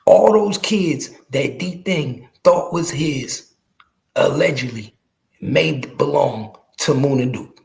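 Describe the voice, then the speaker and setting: A man's voice: medium pitch, slow, creaky, a little mellow, poor audio. A man in his early forties giving casual, slangy street commentary to his viewers, emphatic and profane, repeating phrases to drive them home. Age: early 40s. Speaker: podcast host.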